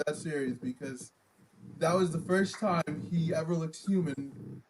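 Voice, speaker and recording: A man's voice: a little high, a little slow, rough recording. A man in his mid-twenties co-hosting a casual sports chat, eagerly bringing up old games and stats. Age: 20s. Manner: eager, quotes stats and game history. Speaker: podcast co-host.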